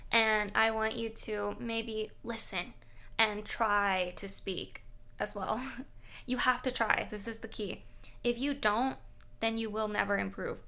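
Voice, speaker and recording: A woman's voice: mid-range voice, creaky, sharp tone. A young woman from Thailand, a native Thai speaker, talking casually in English to camera with plenty of fillers. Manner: chatty, frequent fillers and laughs. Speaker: vlogger.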